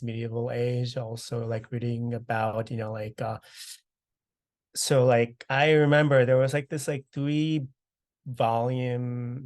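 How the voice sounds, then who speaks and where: Man in his thirties, from South Korea. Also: mid-range voice. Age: 30s. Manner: relaxed, many fillers like uh and you know. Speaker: interviewee. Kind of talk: oral history interview. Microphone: video call.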